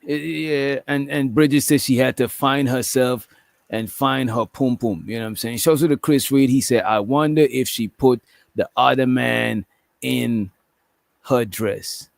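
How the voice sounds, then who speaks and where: Gravelly profane reaction host man. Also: mid-range voice, unhurried, no room sound. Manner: profane, laughing, repeats "you know what I'm saying". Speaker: commentary show host. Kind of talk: casual reaction commentary.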